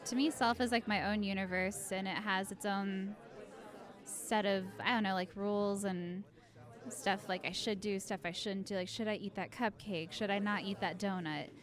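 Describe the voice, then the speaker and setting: A woman speaks informally in a mid-pitched voice. She reflects out loud in a loose, rambling way, as if answering an open interview question. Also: fairly even intonation, normal speed, a faint crowd.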